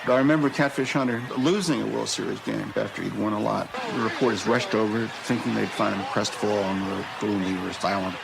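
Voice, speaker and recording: A man with a gravelly voice telling reflective stories about baseball players he remembers. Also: brisk, few pauses. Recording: clearly noisy background rumble.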